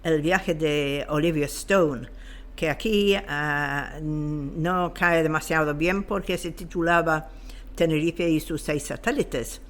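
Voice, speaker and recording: A woman, explaining history as an interview guest in a hesitant, halting way as she searches for words. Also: deep voice, fairly even intonation. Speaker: guest expert on local history. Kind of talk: unscripted explanatory talk.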